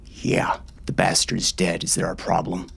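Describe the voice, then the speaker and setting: Hard-bitten western lawman: low, gravelly, intense, a furious grieving father narrating his own dark tale. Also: completely flat delivery, a little slow, heavily acted. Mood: angry, threatening. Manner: intense, menacing. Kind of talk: first-person audiobook narration, dramatic.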